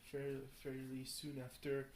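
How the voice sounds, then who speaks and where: A tired young man rambles casually through a video diary, halting and thinking aloud, often questioning himself. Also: mid-range voice, monotone. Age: young adult. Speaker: video blogger. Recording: roomy echo, faint background noise.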